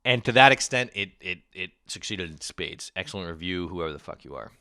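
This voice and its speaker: A man's voice: deep voice, lively intonation, brisk, sharp tone, no echo. A man hosting a comedy podcast, reading reviews aloud with crude, sarcastic, mocking commentary. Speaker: podcast host.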